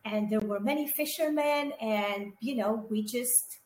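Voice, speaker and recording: A woman's voice: on the high side, measured pace, a little mellow. A middle-aged woman from Romania, co-hosting a podcast in a chatty, casual way, with many fillers and asides to her listeners. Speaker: podcast co-host. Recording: echoey room with faint background noise.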